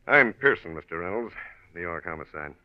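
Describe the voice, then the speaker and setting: An actor playing a dry, probing American homicide lieutenant in a 1940s radio drama, folksy and deliberately offhand as he questions. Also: low voice, expressive, no room sound. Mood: cool, firm. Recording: muffled old radio recording.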